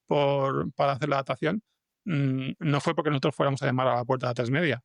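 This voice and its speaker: Podcast guest, male, Spain Spanish, medium pitch with gravelly fry, halting conversational delivery. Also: steady tone, no echo, clean studio sound. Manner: hesitant, rambling, stretching fillers and repeating words. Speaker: guest, fiction podcast scriptwriter and comics artist. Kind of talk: casual interview conversation.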